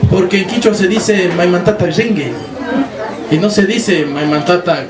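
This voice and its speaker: A high-pitched man from Ecuador, speaking with an Ecuadorian highland accent, tells stories to a live audience in a big hall, pausing for effect and acting out the lines he quotes. The mic is set well back, so his voice echoes around the room, and the crowd is loud behind him. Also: far from the mic. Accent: Ecuadorian highland Spanish. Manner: dramatic pauses and acted-out quotes. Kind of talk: impassioned storytelling talk.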